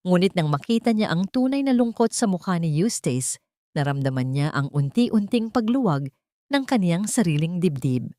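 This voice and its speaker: Low-voiced woman narrating a dramatic story, dry sound. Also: very animated, moderate pace, warm tone, no echo. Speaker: narrator of audio stories.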